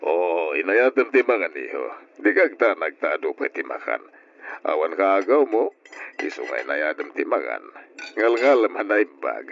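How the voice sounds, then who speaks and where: A fatherly older gentleman with an Ilocano accent and a low, solicitous voice. His pitch moves in broad, animated sweeps. It sounds like a dull old radio drama recording. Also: moderate pace, creaky, a little hiss or hum, heavily acted. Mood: kindly.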